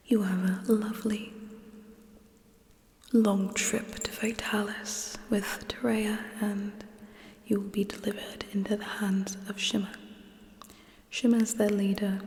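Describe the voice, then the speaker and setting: A young woman voicing a haughty, menacing alien military ruler in a slow, breathy ASMR whisper, with cold, condescending contempt. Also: mid-range voice, mellow. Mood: disdainful. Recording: echoey room with long reverb.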